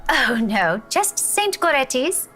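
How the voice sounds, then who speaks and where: A friendly young female schoolteacher in a visual novel. Her British accent carries rolled Scottish R's, and her slightly high voice moves up and down a lot as she teases and asks questions, with music behind her. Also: very animated, slow pace, pushed and bright, exaggerated voice. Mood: playful, teasing. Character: friendly young woman schoolteacher, playful and curious. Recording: music behind game dialogue.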